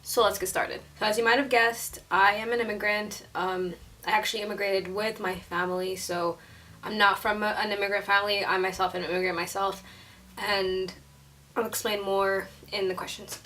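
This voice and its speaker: A woman's voice: mid-range voice, little pitch movement, normal speed, sharp tone. Chatty young woman, slightly distant mic. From Syria. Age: 20s. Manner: chatty, says like a lot, laughs often. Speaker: YouTuber. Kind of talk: casual vlog chat.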